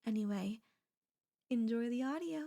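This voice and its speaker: Mellow woman, casual intro. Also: a little high, moderate pace, vocal fry. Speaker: voice actor introducing own audio.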